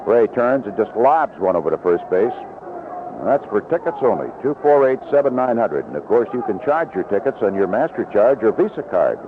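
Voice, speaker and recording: A man's voice: lowish voice, very animated, a little fast. An American man calling baseball play-by-play on the radio in a folksy, descriptive manner. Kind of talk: live radio sports commentary. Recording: dull, noisy vintage radio broadcast.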